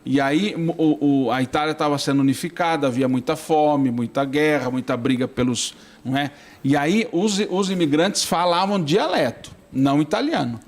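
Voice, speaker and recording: A man with a Brazilian accent and a slightly high voice speaks as an interview guest. He explains things conversationally, often closing a statement with a brief question to check agreement. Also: normal speed, hardly any pauses.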